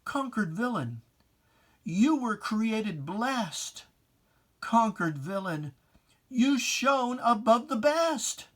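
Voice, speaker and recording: Poetry reader, solemn and theatrical, very measured pace, mid pitch, for dramatic religious verse. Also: very animated, fairly bright tone. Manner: solemn, theatrical, drawn-out phrasing.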